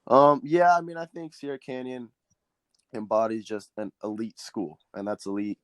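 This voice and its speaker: A teenage quarterback from Southern California, a native Spanish speaker, answering interview questions earnestly with many ums and restarts. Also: male voice, mid-range voice, level tone, normal speed, clear, resonant tone, no room sound. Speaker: high school quarterback guest.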